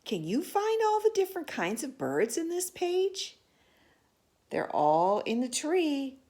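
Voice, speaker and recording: A woman storyteller with a fairly high voice reads a picture book aloud to children. Her delivery is slow and very animated, in an expressive style that invites listeners to look along. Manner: expressive, asks listeners questions about pictures.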